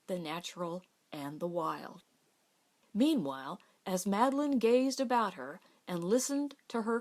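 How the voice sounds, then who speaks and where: A woman reading a novel aloud as an audiobook narrator. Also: fairly high pitch. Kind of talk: scripted literary narration.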